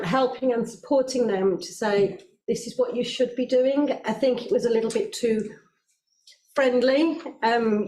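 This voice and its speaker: A woman, a senior children's services manager, answering a council committee's questions in a measured, explanatory way with frequent pauses. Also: fairly high pitch, dark tone. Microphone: microphone not working, speaking loudly. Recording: roomy meeting room, faint noise.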